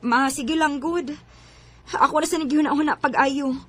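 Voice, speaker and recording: A woman with a Cebuano accent playing a gentle, self-sacrificing young daughter in a radio drama, pleading yet determined. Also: high-pitched, normal speed. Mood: reassuring, resolute. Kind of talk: Filipino radio drama acting.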